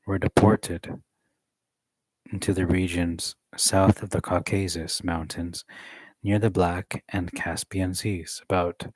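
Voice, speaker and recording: A man reading a study text aloud in a measured way, adding occasional joking asides. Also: deep voice, breathy edge, rough recording.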